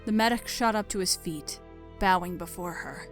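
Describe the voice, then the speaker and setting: A woman reading scripted dark fiction as a narrator, measured and dramatic. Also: lowish voice, soft background music.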